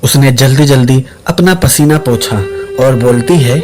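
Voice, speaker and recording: A man's voice: mid-range voice, normal speed. A man narrating a scripted suspense story as the storyteller. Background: background music.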